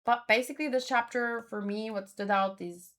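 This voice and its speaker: A woman's voice: a little high, steady tone, moderate pace, a bit bright. A woman giving casual reaction commentary as a host, thinking aloud in a musing, hesitant way and often repeating words. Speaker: host of a manga read-along.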